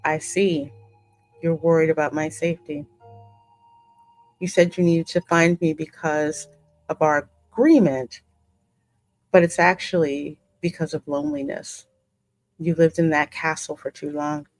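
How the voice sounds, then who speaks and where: A woman hosting a gaming channel, reading game dialogue aloud in an expressive, theatrical way with casual reactions. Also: deep voice, unhurried, pauses often, dry recording. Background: game music.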